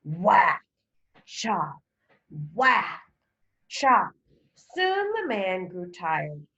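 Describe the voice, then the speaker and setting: Female primary teacher, read-aloud: bright tone, unhurried, some creak, emphatic delivery. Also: mid-range voice, wildly swooping pitch, muffled recording. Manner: deliberate, emphatic, questioning. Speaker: second grade teacher. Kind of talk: instructional read-aloud for children.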